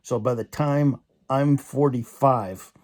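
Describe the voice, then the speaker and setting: A man's voice: mid-range voice, slow, a little mellow. A young man in a casual opinion rant, rambling with many restarts and repeated fillers. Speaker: vlogger.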